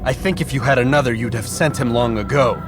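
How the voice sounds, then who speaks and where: A man's voice: mid-range voice, fairly quick, music in the background, lightly acted. A man playing a proud, defiant young assassin in a video game, speaking in a blunt, serious way. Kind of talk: dramatic video game acting.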